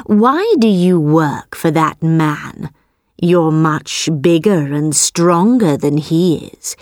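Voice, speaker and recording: A woman voicing a proud male tiger who asks slow, measured questions in a storybook reading. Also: low-pitched, a little mellow, no echo, studio quality. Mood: demanding.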